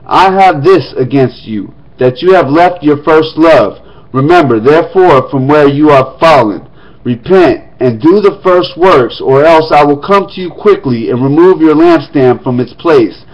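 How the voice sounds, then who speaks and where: A man reading scripture aloud in a steady voice, now and then breaking off into casual asides to his listeners. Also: a little high, a little slow. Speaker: scripture reader. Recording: dull lo-fi recording.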